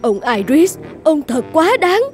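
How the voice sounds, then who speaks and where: A woman playing an exasperated, plain-spoken village housewife in an animated fairy tale, protesting and talking back. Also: high-pitched, slow, faint music behind, exaggerated voice. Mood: upset.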